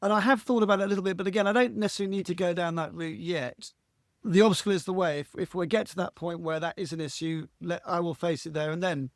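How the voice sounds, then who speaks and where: Husky man, fairly high voice, earnest and rambling, restarts phrases, dry close recording. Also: fairly even intonation, quick pace, no room sound. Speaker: podcast guest. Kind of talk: reflective conversational interview.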